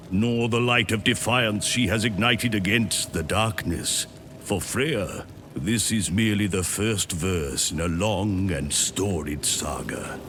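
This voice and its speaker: A man's voice: on the low side, noisy background. A man playing a grave storyteller, giving scripted, portentous narration for a fantasy game cutscene. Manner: solemn, portentous.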